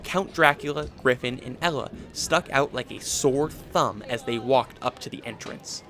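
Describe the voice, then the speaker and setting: A man acting in a radio drama as a solemn storyteller and an uneasy vampire, speaking in a measured way. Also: medium pitch, a bit bright, close to an everyday voice. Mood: solemn narrating. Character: Dracula, uneasy, irritable male vampire, also narrator. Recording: music bed behind the voice.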